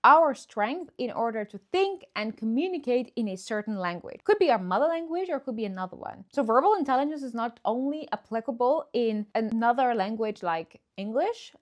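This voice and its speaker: A woman with a Persian accent, a native Farsi speaker, coaching listeners directly in an encouraging, emphatic instructional talk. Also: on the high side. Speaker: presentation skills coach, video host.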